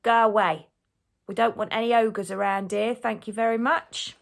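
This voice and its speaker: A woman playing a brisk, challenging storybook gatekeeper, a man who is wary but gullible. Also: medium pitch, expressive, close to an everyday voice. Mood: suspicious, loud. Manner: brisk, challenging, shouting through the gate.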